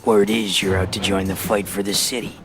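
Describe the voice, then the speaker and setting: An actor playing a gruff, distrustful underground fighter in a video game, curt and sardonic. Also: male voice, deep voice, flat delivery, a little fast, over music, close to an everyday voice. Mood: menacing. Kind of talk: video game cutscene acting.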